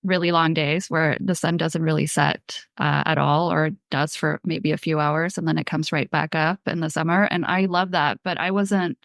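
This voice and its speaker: A woman from Sweden hosts a casual podcast interview. Her voice sits a little low, and she asks loose, chatty questions. The sound is dry and close. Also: normal speed, vocal fry, hardly any pauses, no room sound. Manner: relaxed, chatty questions with filler "like". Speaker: podcast host.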